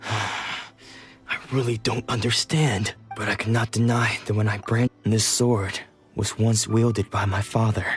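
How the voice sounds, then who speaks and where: An actor playing a troubled video game swordsman who has lost his memories and ambition, speaking in a brooding, halting, reflective way. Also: fairly low pitch, fairly even intonation, faint music behind, close to an everyday voice. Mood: wistful, sorrowful.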